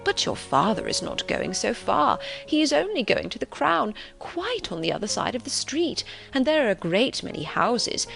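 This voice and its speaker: Woman reading as a fussy, gentle old gentleman, music behind. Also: a little high, very animated, normal speed, vocal fry, few pauses, voice pushed up, exaggerated voice. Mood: fussing. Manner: courteous, fretful, long-winded.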